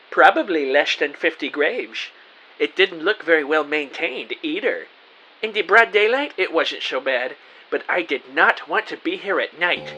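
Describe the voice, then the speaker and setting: A man's voice: fairly high pitch, poor audio. A man playing an earnest, nervous rookie detective, narrating an audio drama in the first person.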